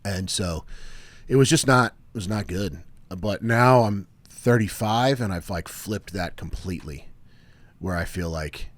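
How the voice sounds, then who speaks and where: A man in his thirties hosting a casual podcast chat, often restarting his sentences and trailing off quietly. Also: sits low, a little slow, dry recording. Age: 30s. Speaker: podcast host.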